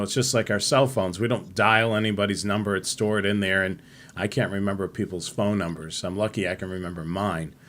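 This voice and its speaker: A middle-aged man hosting a live-streamed hobby show, chatting in a relaxed, rambling way with many fillers. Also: lowish voice. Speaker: host.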